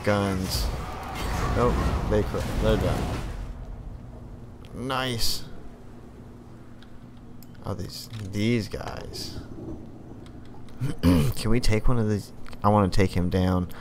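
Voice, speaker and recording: A man with a lowish voice talks slowly through his strategy game, snapping brief orders at units and cursing when things go wrong. His throat sounds worn, and he clears it often. Steady background noise sits under the recording. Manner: barks quick orders at game units, swears freely. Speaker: let's play host. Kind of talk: casual live gameplay commentary.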